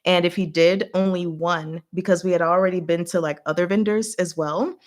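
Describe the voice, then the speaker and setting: A woman hosting a livestream, rambling casually in a chatty, giggly way with animated reactions. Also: lowish voice, moderate pace. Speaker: livestream host.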